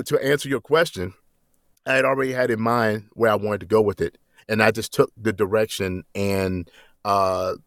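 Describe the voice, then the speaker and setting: A man who works as a voice actor, speaking as an interview guest in a relaxed way and often checking in with "you know.". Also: on the low side, no echo, studio quality. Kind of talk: conversational interview.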